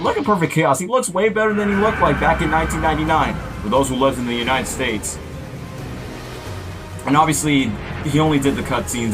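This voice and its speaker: A man hosting a casual reaction commentary in an excitable manner, as an amateur voice actor. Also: medium pitch. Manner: excitable, breaks into quoted character lines.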